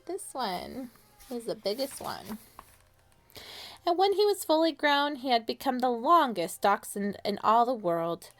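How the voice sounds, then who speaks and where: Animated woman reading a picture book aloud. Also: a little high. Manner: expressive, with dramatic pauses and questions to listeners. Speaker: children's storytime reader.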